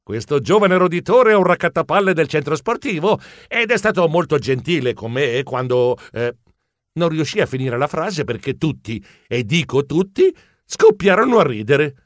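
A man playing an excitable, bumbling, polite mouse journalist who tells his own adventure as theatrical children's storybook narration. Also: fairly high pitch, normal speed, dry recording, studio quality, lightly acted. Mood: bewildered, embarrassed. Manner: theatrical, expressive, comic exclamations.

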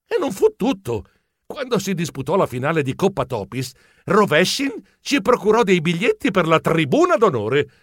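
A man playing an excitable, bumbling, polite mouse journalist who tells his own adventure as theatrical children's storybook narration. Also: high-pitched, normal speed, dry recording, studio quality. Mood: delighted. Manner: theatrical, expressive, comic exclamations.

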